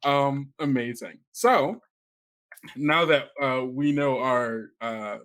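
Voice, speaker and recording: A man hosts a tabletop roleplaying show in casual conversation. His pitch is average for a man, and his way of talking is informal and joking as he steers the game. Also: slow pace, fairly bright tone. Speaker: game master and host.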